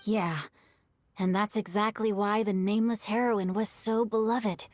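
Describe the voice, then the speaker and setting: A woman's voice: mid-range voice, steady tone, no room sound, lightly acted. A woman playing a polite, bookish youth in a video game, speaking in an articulate, thoughtful and courteous way. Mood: calm, earnest. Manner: articulate, measured, courteous storyteller. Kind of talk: video game character acting. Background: game music.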